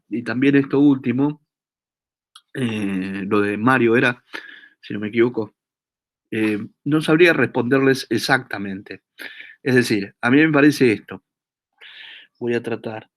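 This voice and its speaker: Thoughtful Argentine man lecturing. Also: medium pitch. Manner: thoughtful, hesitant, frequent tag questions. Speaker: guest lecturer answering questions. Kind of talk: academic seminar discussion.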